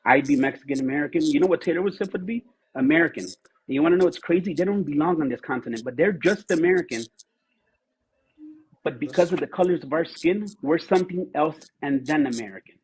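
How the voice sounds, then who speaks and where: A man's voice: fairly high pitch, fast, rough recording. A man in a heated livestream debate, insistent and sarcastic, repeating his points again and again. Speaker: livestream participant.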